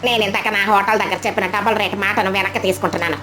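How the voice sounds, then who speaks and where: A woman's voice: mid-range voice, fairly even intonation, rapid-fire, pitched below the performer's own voice, close to an everyday voice. Woman voicing a dramatic, lamenting mother crow. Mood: resolute. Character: frantic, remorseful mother crow, once greedy. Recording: music behind the voice. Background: clear background music.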